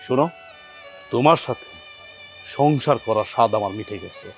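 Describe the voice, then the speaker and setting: A man's voice: mid-range voice, normal speed, soft background music, lightly acted. An actor playing a young husband who angrily confronts his wife and her sister for insulting his father. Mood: furious. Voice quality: shouting, indignant. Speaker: actor in a family drama.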